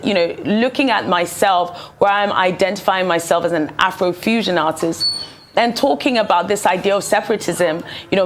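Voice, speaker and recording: A young woman from Ghana, raised partly in England, a musician answering interview questions articulately and peppering her speech with "you know". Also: fairly low pitch. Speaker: musician guest. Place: television studio.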